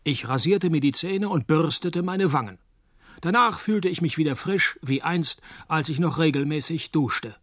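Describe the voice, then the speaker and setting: An actor playing a cynical, wisecracking male private detective in a radio play, narrating and talking in a dry, laconic deadpan. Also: a little high, normal speed, no echo. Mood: dry, sardonic.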